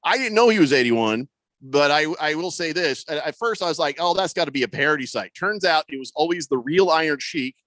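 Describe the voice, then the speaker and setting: A man hosts a casual sports radio show from a studio mic over a video call. His voice is bright and forceful, a little higher than most men's. The sound is close and dry, and he drifts from story to story, reading comments out as he goes. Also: animated, a little fast, no room sound, rough recording. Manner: storytelling, reads listener comments aloud. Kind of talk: casual talk show banter.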